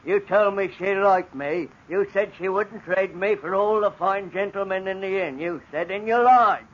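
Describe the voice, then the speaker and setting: A tormented simple-minded servant. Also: male voice, sits high, normal speed, a bit bright. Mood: anguished, confused. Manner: pleading, confused, rising to bitter outbursts. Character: simple-minded, tormented male servant after an old injury. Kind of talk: 1940s radio acting. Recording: dull old radio broadcast recording with faint hiss.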